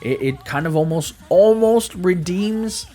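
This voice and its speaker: A man's voice: sits high, lively intonation, unhurried, warm tone, soft background music. A man giving a casual, conversational video game review, speaking emphatically and often stammering or repeating his words.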